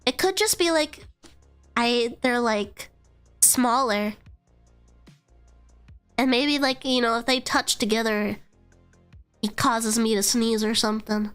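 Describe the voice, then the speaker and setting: High, nasal young woman chatting on stream. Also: takes it slowly, long pauses, a bit bright, smooth, faint music behind. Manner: chatty, swears freely, trails into fillers. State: congested from a cold. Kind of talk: casual rambling stream chat.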